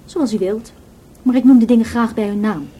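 An actress playing a frank, self-assured young student in a radio play, informal and unembarrassed. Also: female voice, a little high, a little fast, dark tone, slightly rough. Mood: frank, matter-of-fact. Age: young adult.

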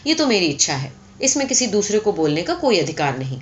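A woman voicing an imperious, calculating young rich heiress in a story, firm and sharp as she dictates terms. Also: medium pitch, very animated, lo-fi sound, close to an everyday voice.

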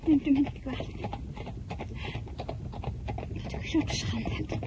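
High girlish voice, naive lovelorn teenage girl, emotional, halting, radio drama, noisy recording. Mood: upset, ashamed. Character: naive, lovelorn fifteen-year-old French merchant's daughter, proud and upset. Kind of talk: Dutch radio drama acting.

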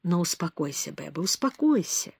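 A woman reading aloud, voicing a weary grown man who speaks softly and tenderly to calm a girl. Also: on the low side, moderate pace, vocal fry, breathy edge, dry recording, exaggerated voice. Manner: soft, tender, calming.

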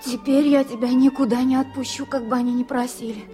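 A woman's voice: sits high, level tone, brisk, warm tone, close to an everyday voice. An actress playing an impulsive, devoted young woman in love in an emotional Soviet radio drama, speaking with pleading fervour. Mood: insistent devotion. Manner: impassioned, pleading. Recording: 1980s radio play with prominent music.

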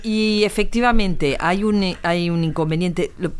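A man's voice: sits high, moderate pace, clean tone. A man with an accent from Spain in casual discussion, often repeating words as he works out a thought. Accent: Spanish from Spain. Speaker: podcast participant and activist. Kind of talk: casual chat.

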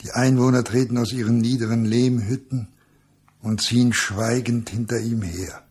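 An actor playing the solemn narrator of a radio drama, gravelly and husky, chanting poetic lines. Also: male voice, medium pitch, fairly even intonation, moderate pace, darkish tone, natural voice. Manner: solemn, incantatory. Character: solemn narrator of a jungle tale.

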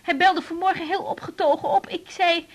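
A woman's voice: extremely high pitch, fairly even intonation, moderate pace. An actress playing a distraught, guilt-ridden woman in a Dutch radio drama. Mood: agitated, worried.

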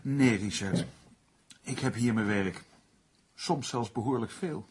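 A reserved writer in a radio drama. His medium-pitched voice is very breathy, and he answers in terse, dry phrases. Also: slow pace, darkish tone. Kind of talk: radio play acting.

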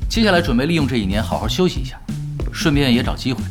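An actor playing a laid-back, dryly unhurried retired pro gamer in an audio drama. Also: male voice, mid-range voice, lively intonation, over music, natural voice. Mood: casual, dry. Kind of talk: Chinese audio drama acting.

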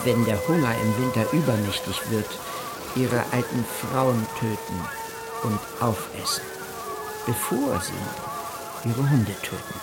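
An actor playing a 19th-century European explorer in a radio play, narrating his voyage in a deliberate, solemn, condescending literary manner. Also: medium pitch, close to an everyday voice, rough recording. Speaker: actor reading a historical explorer's account. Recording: loud music under the voice.

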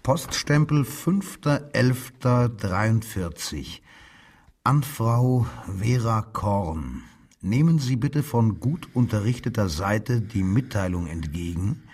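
Domineering, slightly husky SS police commissioner. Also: male voice, fairly low pitch, darkish tone, full character voice. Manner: commanding, mock-polite, sardonic. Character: domineering Nazi-era police commissioner and SS officer. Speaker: actor in a radio crime drama. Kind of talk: German radio play interrogation.

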